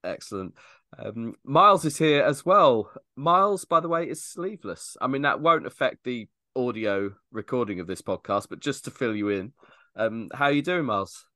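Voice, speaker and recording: A man hosting a casual sports podcast, chatty and quick to laugh as he steers the conversation. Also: mid-range voice, lively intonation, sharp tone, no room sound, studio quality. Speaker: podcast host.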